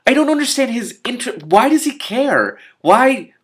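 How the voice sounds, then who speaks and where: A man reading a novel aloud in an expressive way, breaking into exclamatory, spontaneous reactions of his own. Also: extremely high pitch, moderate pace. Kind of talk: animated audiobook-style reading with spontaneous commentary.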